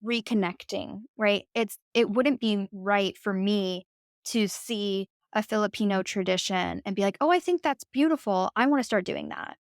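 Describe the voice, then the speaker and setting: A woman's voice: medium pitch, normal speed, no echo. Dry-voiced woman musing aloud. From United States. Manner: reflective, frequent "like" and "right?". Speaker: podcast guest. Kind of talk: conversational interview.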